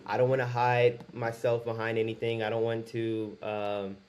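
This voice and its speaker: A man's voice: medium pitch, completely flat delivery, moderate pace, a little mellow, highs cut off. A man in his twenties talking candidly to camera in his first video, rambling a little and restarting his sentences. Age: 20s. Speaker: YouTuber. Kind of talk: casual talk to camera.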